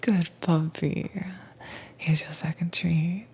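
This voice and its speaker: A woman playing a dominant, teasing mistress in an intimate roleplay audio, soft-spoken and coaxing but commanding, with a breathy, whispery voice. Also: deep voice, fairly even intonation, takes it slowly, a little hiss or hum. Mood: tender, praising. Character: dominant, teasing mistress training her werewolf pet.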